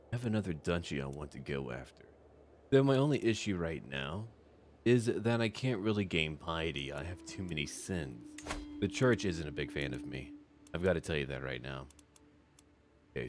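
A man's voice: very deep voice, a little hiss or hum. A man giving casual, lightly roleplayed gameplay commentary, musing aloud in short clipped remarks. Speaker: host of a gameplay series. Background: background music.

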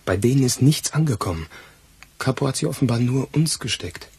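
An actor playing an eager, loyal police assistant in a crime radio play, arguing colloquially. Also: male voice, medium pitch, animated, a little fast, a little mellow, slightly rough. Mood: eager, deducing. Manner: colloquial, argumentative.

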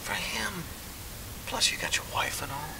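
A man playing a kindly, lonely confidant in an audio drama, gentle and halting in hushed tones. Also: fairly high pitch, sing-song, creaky, in a whisper, full character voice, rough recording. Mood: hushed concern.

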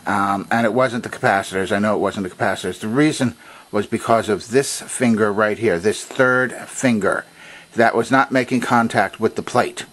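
A man presenting an unscripted hands-on technical demonstration in a halting, methodical, explanatory way. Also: on the low side, fairly bright tone. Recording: noticeable background noise.

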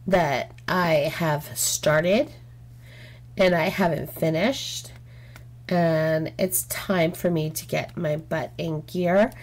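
A woman chatting casually while she works on a craft, frank and rambling with lots of "um"s, her throat getting sore. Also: on the low side. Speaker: craft channel host. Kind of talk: casual chat while diamond painting. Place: home craft table, indoors.

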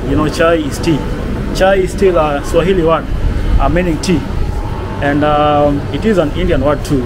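Kenyan male vlogger, slightly high voice, chatty, busy outdoor street noise. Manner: chatty, repeats "man" and "I'm telling you". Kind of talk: casual travel vlog narration. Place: outdoors in a busy city market. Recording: noisy outdoor street recording.

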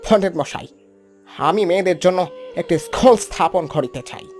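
A high-voiced British gentleman acted by a man speaks politely in formal, stiff cartoon dialogue. He sounds like a concerned philanthropist, with music behind him. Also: moderate pace, lightly acted. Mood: earnest, concerned.

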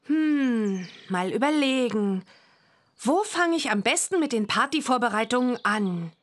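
High, eager young witch girl. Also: lively intonation, a little slow. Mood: determined. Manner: bright, eager, girlish. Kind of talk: cartoon game voice acting.

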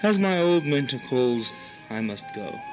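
A man playing an earnest, high-minded wandering musician in a radio-play parody, with grandiose theatrical delivery. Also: sing-song, a little slow, over music. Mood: lofty, resolute.

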